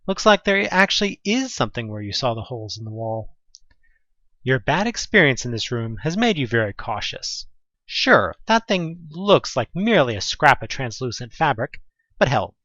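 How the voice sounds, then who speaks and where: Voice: mid-range voice, big pitch swings, moderate pace, fairly bright tone, no echo, dull audio. A narrator reading a second-person horror audio drama expressively, with dramatic delivery and conversational asides.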